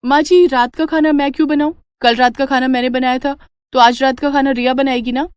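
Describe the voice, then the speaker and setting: A woman's voice: high voice, fairly even intonation, a little fast, smooth, dry recording, pitched above the performer's own voice, close to an everyday voice. A woman voicing an assertive, resentful elder daughter-in-law, argumentative and insistent, in a cartoon family drama. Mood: defiant.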